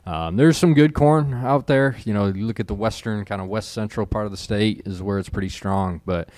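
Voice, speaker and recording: A man's voice: lowish voice, normal speed, darkish tone, no echo. A man, a corn specialist, talking through crop conditions in a laid-back, chuckling way. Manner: laid-back, chuckling, thinking aloud with fillers. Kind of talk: casual expert chat.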